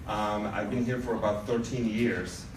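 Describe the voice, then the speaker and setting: A man with a Polish accent welcomes an audience, his lowish voice stumbling through ums and restarts. He is picked up from a distance in an echoey venue, with clear room noise behind him. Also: flat delivery, moderate pace. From Poland. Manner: hesitant, many ums and restarts. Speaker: event host from sponsor company. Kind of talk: welcome speech at live event. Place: large event venue indoors.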